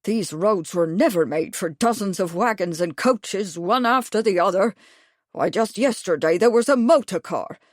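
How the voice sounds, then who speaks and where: A woman's voice: medium pitch, animated, unhurried, darkish tone, dry recording, lightly acted. Fussy old military man, pompous and long-winded, read by a woman narrator in a dry studio. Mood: indignant. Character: fussy older male retired major, pompous.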